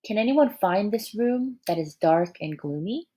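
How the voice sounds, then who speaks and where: Lady teacher reading a kids book out loud, really lively up and down voice, encouraging, asks the little ones questions. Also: medium pitch, measured pace. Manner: expressive, encouraging, addresses young listeners directly. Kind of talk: read-aloud for young children with questions and asides.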